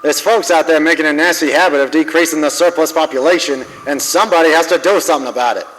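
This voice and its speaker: A man's voice: on the high side, quick pace, a bit bright. Man acting a hard-boiled, world-weary detective in a reverberant hall. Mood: guarded. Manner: tough, slangy, wry. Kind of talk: noir radio drama narration and dialogue. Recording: long hall reverb, music behind.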